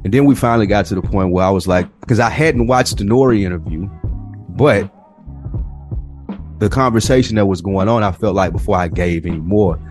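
A man with an American accent and a fairly low voice holds forth in a casual podcast discussion. He is long-winded and emphatic, often repeating a phrase for weight. Music plays behind him. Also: brisk, darkish tone. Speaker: podcast co-host.

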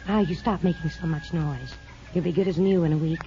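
A woman's voice: low voice, steady tone, brisk, warm tone, lightly acted. A woman playing a modest, capable farm woman in a 1950s radio drama, hesitant and soothing, with an American accent. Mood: nervous, soothing. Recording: muffled, noisy old radio recording.

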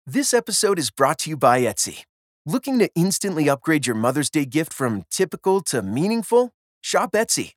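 Higher-pitched male ad announcer. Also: big pitch swings, normal speed, dry recording, studio quality. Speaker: advert announcer. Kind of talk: scripted commercial read.

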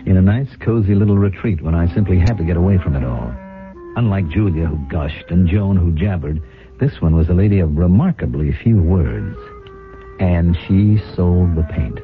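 An ambitious businessman in a vintage radio play, low in pitch with lively intonation. He is brash and self-justifying, always selling. The recording is dull, like old broadcast radio. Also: moderate pace, soft background music. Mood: smug. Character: ambitious, defensive, brash male paint-company boss. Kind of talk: 1970s radio drama acting and first-person narration.

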